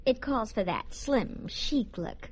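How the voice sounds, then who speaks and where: An American actress playing a coy, fashion-conscious, affectionate wife in a vintage radio commercial. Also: female voice, fairly high pitch, animated, slow pace, slightly rough, heavily acted. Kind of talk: 1940s-50s radio commercial acting. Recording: muffled old radio broadcast recording.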